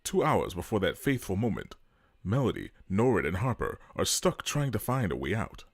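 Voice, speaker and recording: A man narrates a dramatic script in a low voice. His intonation moves up and down expressively. The sound is dry, with little room echo. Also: huge pitch swings, moderate pace.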